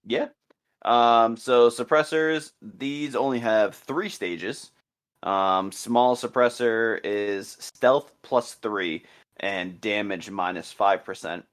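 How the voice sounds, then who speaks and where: A man's voice: fairly low pitch, slow pace. An easygoing man co-hosting a casual podcast, agreeable and talking through numbers step by step. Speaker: podcast co-host. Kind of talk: casual podcast chat about a game.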